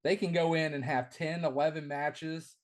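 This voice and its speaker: A middle-aged man, a wrestling commentator and ring announcer, talking in a casual podcast interview with enthusiasm, often repeating words as he starts a phrase; his voice is not deep but it carries. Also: fairly even intonation, normal speed.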